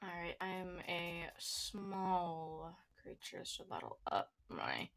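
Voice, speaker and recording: A woman's voice: on the low side, huge pitch swings, extremely slow, vocal fry, a bit bright. A woman playing a tabletop game, trading casual banter with the group.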